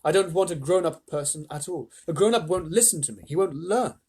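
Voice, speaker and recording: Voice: medium pitch, brisk, dark tone, slightly rough, lightly acted. A storyteller voicing an eccentric old male chocolate factory owner, emphatic and exclamatory, in a storybook reading. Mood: earnest.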